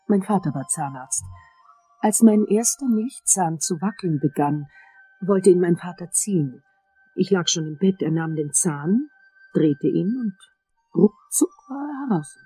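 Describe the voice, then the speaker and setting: An actress playing a composed, seductive dentist in a radio play, calm, soft and breathy. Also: female voice, on the low side, unhurried, soft background music, rough recording. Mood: intimate, reflective. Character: composed, seductive female dentist fascinated by teeth.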